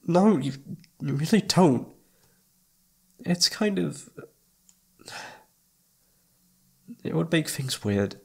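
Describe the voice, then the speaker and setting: A man playing a shy, flustered young incubus with a husky, slightly creaky voice, stammering and hesitant in a romantic ASMR audio roleplay. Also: on the high side, a bit bright, heavily acted. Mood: flustered, anxious. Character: shy, flustered young male incubus roommate confessing his crush.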